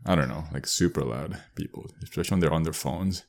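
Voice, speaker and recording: Deep voiced young dude, slangy casual chat, mumbly with lots of likes. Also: male voice, fast, vocal fry.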